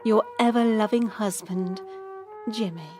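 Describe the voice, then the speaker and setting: A woman's voice: mid-range voice, unhurried, close to an everyday voice. A woman acting a loving, wistful wife reading her soldier husband's letter aloud in a radio drama. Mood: tender, wistful. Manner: tender, wistful. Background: flute music.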